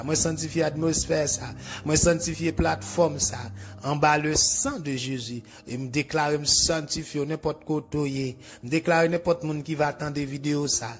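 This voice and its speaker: Haitian man praying, higher-pitched, over music. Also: fairly even intonation, moderate pace. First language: Haitian Creole. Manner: repetitive, incantatory phrasing. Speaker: preacher. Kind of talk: bedtime deliverance prayer, declarative.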